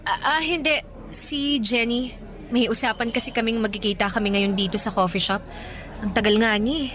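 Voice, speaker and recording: A woman with a Filipino accent playing a soft-hearted, apologetic young woman in a conversational radio drama, gently spoken. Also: a little high, fairly even intonation, moderate pace, lightly acted. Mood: shy, friendly. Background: faint music.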